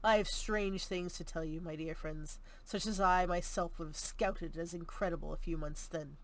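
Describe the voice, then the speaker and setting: A woman voicing a grim, bitter old general in an audiobook, gruff, testy and direct. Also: on the low side, steady tone, normal speed, lightly acted, rough recording. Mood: grim, intense. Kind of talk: audiobook character reading.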